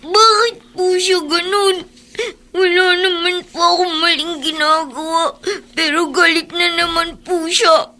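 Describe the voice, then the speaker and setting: A tiny, very high and bright child's voice, plaintive and tearful with a Filipino accent. The child speaks slowly and politely, pleading for affection in a radio drama. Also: steady tone, full character voice. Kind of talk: Tagalog radio drama acting.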